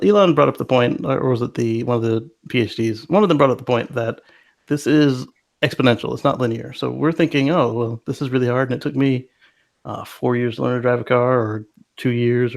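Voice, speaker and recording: Gravelly-voiced upbeat podcast man. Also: mid-range voice, steady tone, brisk. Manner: upbeat, optimistic, chuckles often, reads from live chat. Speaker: podcast co-host. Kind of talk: casual podcast discussion.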